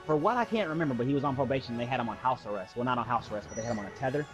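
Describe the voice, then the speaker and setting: Man, American accent, casual storyteller, mid-pitched voice, slangy, swears, quotes his friends. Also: very fast, over music. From Muskegon, Michigan, USA. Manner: animated retelling with acted-out dialogue and slangy swearing. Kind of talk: casual personal storytelling while gaming.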